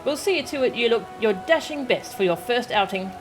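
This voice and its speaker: A woman's voice: on the high side, animated, moderate pace, over music, close to an everyday voice. A woman voicing an obliging, deferential tailor in a video game, polite and accommodating. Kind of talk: video game dialogue read aloud.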